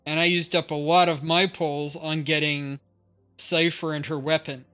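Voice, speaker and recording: A woman's voice: deep voice, flat delivery, moderate pace, fairly bright tone, clean tone, faint music behind. A woman live-streaming a video game, chatting casually and informally with viewers. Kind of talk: casual chat, game commentary.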